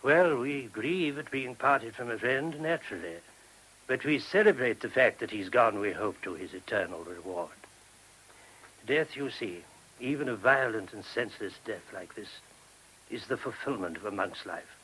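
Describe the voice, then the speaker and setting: An actor in a radio play voicing a gentle, earnest monk who explains things courteously and a little hesitantly. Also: male voice, medium pitch, lively intonation, normal speed, breathy edge, poor audio. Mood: solemn. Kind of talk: radio drama acting. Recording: hissy old radio recording.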